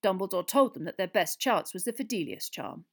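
A woman voicing a pompous older male government minister, gruff and confiding, in a storybook reading. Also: mid-range voice, lively intonation, brisk. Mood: grave, confiding.

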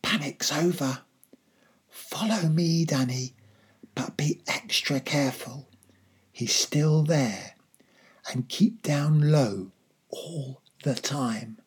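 A reader playing an excitable, daring poacher dad, raised husky voice. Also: mid-range voice, extremely slow, pitched above the performer's own voice, exaggerated voice. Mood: hushed, urgent. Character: excitable, daring poacher father, triumphant and fond.

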